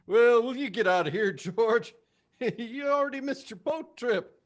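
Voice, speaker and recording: An actor playing a flustered, excitable old uncle in a 1940s radio play. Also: male voice, very high voice, a little slow. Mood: gleeful. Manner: stammering, excitable.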